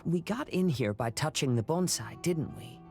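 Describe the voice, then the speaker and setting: An actor playing a calm young wandering samurai in a video game, speaking softly in a measured, contemplative way. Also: male voice, a little high, gravelly, faint music behind. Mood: calm, reflective. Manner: soft-spoken, contemplative, measured. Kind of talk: scripted video game dialogue.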